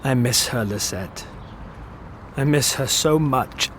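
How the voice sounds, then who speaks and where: An actor playing a wistful, evasive immortal man in an audio drama, speaking with theatrical eloquence that turns halting when he is pressed. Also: mid-range voice, fairly bright tone, a little hiss or hum. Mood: grieving, bitter.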